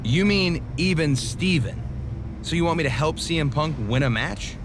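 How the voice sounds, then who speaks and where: An actor playing a weary, sensible masked wrestler, talking dryly and matter-of-factly in a video game cutscene. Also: male voice, on the high side, expressive, normal speed, background noise, close to an everyday voice. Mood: puzzled. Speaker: wrestler character in a game story mode.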